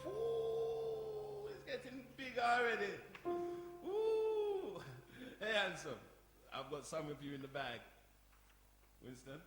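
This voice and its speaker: An actor playing an affectionate, outgoing uncle in a live family play. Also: male voice, extremely high pitch, wildly swooping pitch, takes it slowly, exaggerated voice. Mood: exuberant, affectionate. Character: exuberant uncle greeting his whole family affectionately. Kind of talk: stage play acting. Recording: roomy live performance, music behind.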